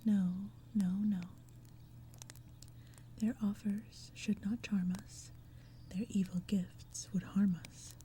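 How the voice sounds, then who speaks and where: A woman voicing earnest young maidens from a fairy-tale poem in a hushed, breathy, lilting whisper. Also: mid-range voice, flat delivery, slow, long pauses, a little hiss or hum, close to an everyday voice. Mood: urgent refusal.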